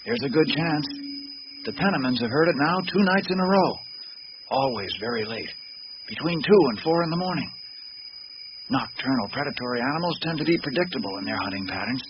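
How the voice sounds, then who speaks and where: An actor playing an older male captain in a radio drama, a calm, patient investigator with a dry, matter-of-fact manner. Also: medium pitch, a little fast, lightly acted. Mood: quiet, patient. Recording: very noisy, dull radio drama recording.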